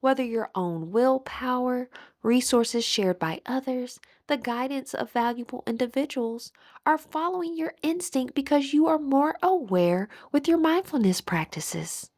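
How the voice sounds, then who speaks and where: A woman leading a guided meditation as an instructor, speaking in a soothing, deliberate manner with gentle pauses. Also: high voice, expressive.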